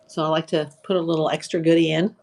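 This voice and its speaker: A woman hosting a live online auction stream, chatting casually, greeting viewers by name and listing items for sale. Her voice is getting tired and a little hoarse from hours of talking. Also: sits low, flat delivery, fast talker, a little mellow. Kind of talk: casual live-selling chat.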